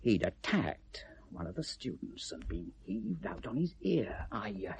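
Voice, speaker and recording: An actor playing a gossipy, insinuating British shopkeeper in a 1970s radio drama, husky, deliberate and pointed. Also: male voice, lowish voice, very animated, a little hiss or hum, full character voice.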